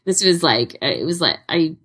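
Husky-voiced woman chatting casually. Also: low voice, sing-song, normal speed, a bit bright. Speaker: podcast co-host. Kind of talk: casual chat about fan fiction audio readings.

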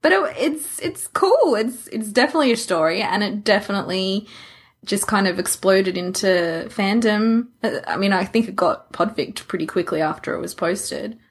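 A woman's voice: mid-range voice, animated, normal speed, breathy edge. A woman co-hosting a podcast, talking in a casual conversational way. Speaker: podcast co-host.

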